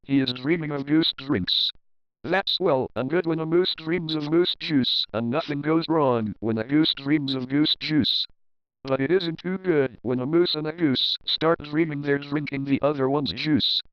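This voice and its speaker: A man's voice: a little high, unhurried, a bit bright, clean tone, dry recording, low-quality recording. A man narrating a children's picture book as a readalong, reading rhyming verse expressively and rhythmically. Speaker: storybook narrator.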